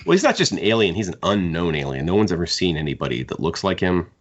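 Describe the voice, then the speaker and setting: A man's voice: deep voice, sing-song, fast talker, highs cut off. A man co-hosting a book podcast, talking casually and analytically as he gives his opinions. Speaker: podcast co-host discussing books.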